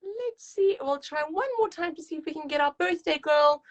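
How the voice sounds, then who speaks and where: A woman's voice: high voice, moderate pace. A woman hosting a live story time, talking to young children in an encouraging, gushing, sing-song way. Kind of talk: live read-aloud and chat addressed to young children.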